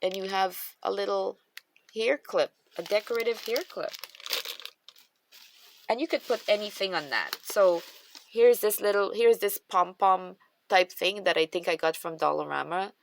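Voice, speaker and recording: A woman's voice: medium pitch, a little slow, gravelly, a little hiss or hum. A woman with a Guyanese accent, chatting casually as she shows off her craft supplies and thinks aloud with trailing restarts. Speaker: craft channel host.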